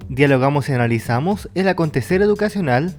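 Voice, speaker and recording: A man announces a radio program in scripted narration. His voice is somewhat high for a man. Music plays clearly beneath him throughout. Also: expressive, fast. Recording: loud intro music bed.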